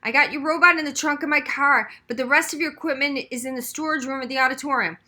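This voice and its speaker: Woman as a harried male superintendent, bright voice. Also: sits high, quick pace, voice pushed up. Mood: frazzled. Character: frazzled male school superintendent, rumpled and harried.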